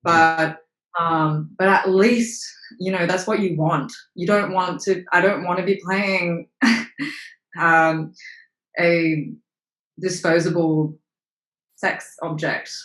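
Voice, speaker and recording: A woman's voice: lowish voice, fairly even intonation, slow pace, pauses often, fairly bright tone. Frank young woman, roomy echo. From Australia. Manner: frank, swears casually, trails off with fillers. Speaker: actress and model, interview guest. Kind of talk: candid interview conversation.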